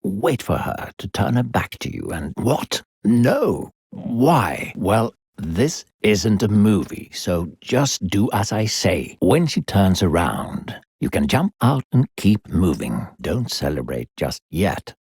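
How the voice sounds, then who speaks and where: A man playing a sinister, coaxing disembodied narrator who guides a child in a video game, gravelly, slow, theatrical and condescending. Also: sing-song, darkish tone, no echo, clean studio sound, heavily acted. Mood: instructive, condescending. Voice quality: deep, gravelly. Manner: smooth-talking, condescending, theatrical, slow and deliberate.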